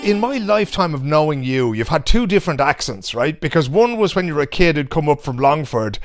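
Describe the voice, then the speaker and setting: A man's voice: on the high side, big pitch swings, brisk, faint music behind. A man with an Irish accent hosting a podcast, chatty and jokey in a colloquial way. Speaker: podcast host. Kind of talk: casual podcast chat.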